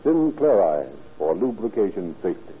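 A man's voice: lowish voice, big pitch swings, normal speed, over music. A man working as a radio announcer, reading scripted sponsor copy in a formal, salesmanlike 1930s style. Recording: tinny, muffled 1930s radio recording.

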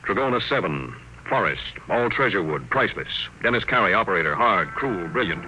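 An actor playing a brusque, dynamic self-made space tycoon, clipped and commanding, in old-time radio drama style. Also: male voice, deep voice, very animated, a little fast, bright, forceful tone, exaggerated voice, poor audio. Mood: curt, commanding. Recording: vintage radio broadcast, faint noise.

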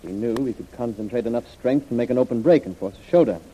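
An actor playing a grave, anxious government official with a formal, deliberate delivery in a 1930s radio drama. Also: male voice, mid-range voice, warm tone, lightly acted. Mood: worried, insistent. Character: solemn, anxious government official planning dangerous secret mission. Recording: muffled, dull old radio recording.

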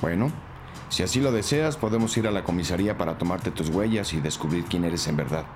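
An actor playing a stern, intimidating police officer in a crime audio drama. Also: male voice, low-pitched, brisk, noisy background, natural voice. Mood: threatening. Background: music bed.

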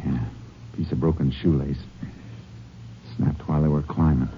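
Shrewd Texas Ranger, very deep voice, American, terse, authoritative, old radio drama, dull vintage sound. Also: male voice, fairly even intonation, normal speed, gravelly, slight background noise. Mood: calm, deliberate. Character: stern, shrewd male Texas Ranger, a seasoned tracker. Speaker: lead actor and narrator. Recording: muffled early-1950s radio recording with music.

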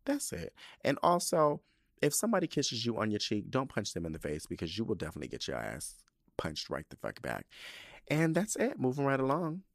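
A man in his thirties hosting his own podcast, talking casually in an animated, profane, rambling way. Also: medium pitch, fairly quick, creaky, dry recording. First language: not Spanish. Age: 30s. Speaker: podcast host. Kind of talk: casual solo podcast talk.

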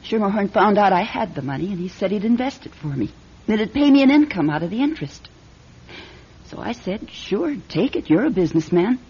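An actress playing a tough, streetwise young hostess who talks bluntly in slang, in an old-time radio detective drama. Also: female voice, mid-range voice, lively intonation, a little fast, darkish tone, breathy edge. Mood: bitter, hurt. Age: young adult. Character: tough, streetwise young nightclub hostess, guarded but wounded. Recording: dull radio recording, music behind.

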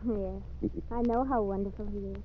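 A woman's voice: medium pitch, fairly even intonation, moderate pace, a little hiss or hum, lightly acted. A woman playing an earnest, sweet young frontier woman in an old radio western, with an American accent. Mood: admiring, wistful. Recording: muffled, narrow-band old radio recording.

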